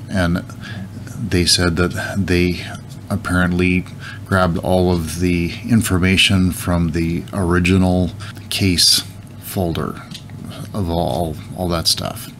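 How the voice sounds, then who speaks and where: A man's voice: deep voice, fairly bright tone, slight background noise. A middle-aged man hosting his channel, casually explaining images on screen and thinking aloud with small restarts. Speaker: channel host.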